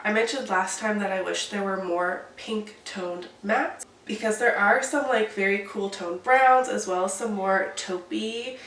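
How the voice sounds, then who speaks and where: A woman's voice: medium pitch, unhurried, few pauses, fairly bright tone. A young woman with a stuffy, congested voice from a cold, chatting casually through a makeup update vlog. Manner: chatty, detailed product talk. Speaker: beauty vlogger. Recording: echoey room, distant mic, faint hiss.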